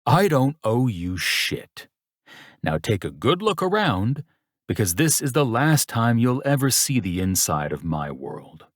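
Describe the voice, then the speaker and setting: Audiobook villain: rich older businessman, played by a male narrator, low-pitched, smug, unhurried and contemptuous, close dry sound. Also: lively intonation, no room sound. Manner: condescending, unhurried, taunting. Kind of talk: audiobook character dialogue.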